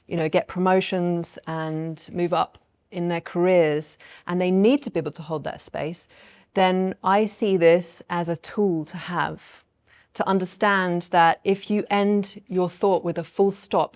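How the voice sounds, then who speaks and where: A woman voice coach on a panel, explaining her ideas to an audience in a thoughtful, measured way with light laughs. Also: on the low side, darkish tone. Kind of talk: panel talk answering audience questions.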